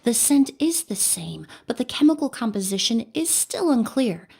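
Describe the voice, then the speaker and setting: An actress voicing a composed young perfumer who investigates with calm, polite, measured deduction in a game cutscene. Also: female voice, on the high side, creaky, husky, heavily acted. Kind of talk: video game cutscene acting.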